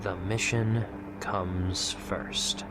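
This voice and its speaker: A man's voice: deep voice, steady tone, drawn-out words. Man acting a hesitant young soldier, music-drenched. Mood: subdued. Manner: hesitant, uncertain. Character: anxious, self-doubting young male soldier. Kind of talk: dramatic audio drama acting. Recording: loud music behind the voice.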